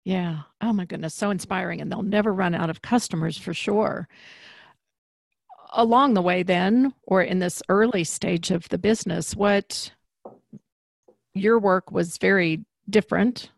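A woman's voice: medium pitch, unhurried, creaky, no room sound. A woman hosting an interview, reacting with enthusiasm and asking follow-up questions. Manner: warm backchannels, enthusiastic reactions. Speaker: podcast host. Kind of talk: conversational interview.

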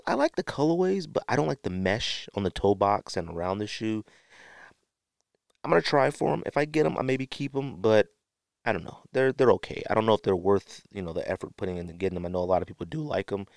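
Male podcast host, low smooth voice, unscripted slangy rambling, a touch of stuffy nose. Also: no room sound, studio quality. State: sniffly, stuffy nose late at night. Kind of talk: casual solo sneaker podcast chat.

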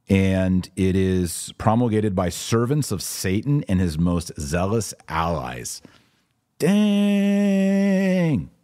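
A man's voice: fairly low pitch, a little mellow. A man hosting a podcast, giving casual commentary in an informal, emphatic, slangy way and repeating key phrases for punch.

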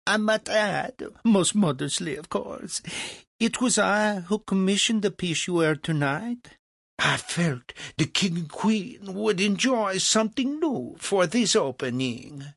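A man's voice: high voice, animated, takes it slowly, creaky, a bit bright, no room sound, clean studio sound, voice pushed up, exaggerated voice. A man voicing a nervous, fawning court official who stammers and grovels. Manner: stammering, obsequious.

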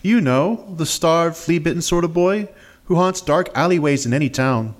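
A man with a deep baritone voice playing a starved, flea-bitten boy thief. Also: expressive, normal speed, natural voice. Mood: cheeky. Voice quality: deep baritone voice pushed high.

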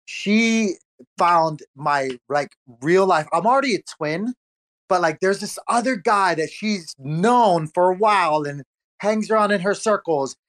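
Casual, slangy talker, middling pitch, playfully dramatic delivery. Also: a little slow, a bit bright. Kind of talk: casual chat.

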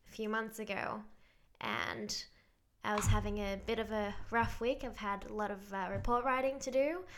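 A woman with a mixed, hard-to-place accent speaks English as a second language in a mid-pitched voice. She tells stories in a chatty, good-humoured way as a podcast guest. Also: fairly bright tone. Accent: mixed non-native English accent. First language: not English. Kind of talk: casual conversational interview.

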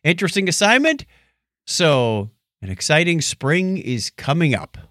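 A man's voice: on the high side, very animated, measured pace, a bit bright, no room sound, studio quality. An American man co-hosting a podcast in casual chat, with a joking, teasing manner. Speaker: podcast co-host.

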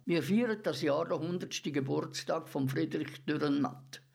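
A man's voice: on the high side, creaky. A man with a Swiss German accent hosting a radio programme, giving a scripted introduction. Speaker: radio host.

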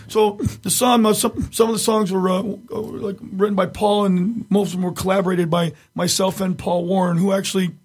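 A raspy-voiced middle-aged man, a tribute singer, chatting casually as an interview guest and telling rambling stories with many restarts. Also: high voice, level tone, moderate pace, darkish tone. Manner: rambling storyteller, many restarts, frequent chuckles.

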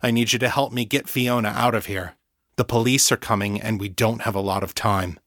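A man voicing a practical, insistent male teammate in an audiobook, keeping steady under pressure. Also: lowish voice, brisk, dry recording, clean studio sound, close to an everyday voice. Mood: urgent, steady. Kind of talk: audiobook character acting.

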